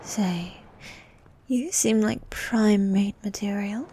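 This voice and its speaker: A woman playing a bossy, haughty ancient sea queen monster in an ASMR roleplay, with a soft, partly whispered voice and regal, condescending manner. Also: medium pitch, a little hiss or hum, close to an everyday voice. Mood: haughty, flirtatious. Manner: regal, condescending, formal, unhurried.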